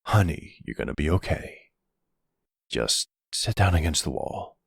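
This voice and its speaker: A man performs a suave middle-aged mafia boss for an ASMR-style boyfriend roleplay. His voice is low and gravelly, recorded dry and close. He is measured and wry, with command and a tender streak. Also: expressive, no room sound, heavily acted. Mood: tender, soothing.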